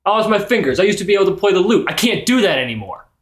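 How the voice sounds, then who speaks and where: A man's voice: high voice, very animated, rapid-fire. A man playing a wounded, dismissive bard in improvised tabletop roleplay, in a casual rambling way. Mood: defensive, upset. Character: defensive wounded male bard, brushing off trauma.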